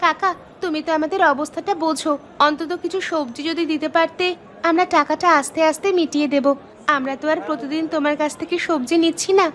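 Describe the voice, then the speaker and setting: A woman playing a humble, kind, poor village woman in an animated moral story, speaking in an earnest, gentle way. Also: sits high, fast talker. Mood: pleading.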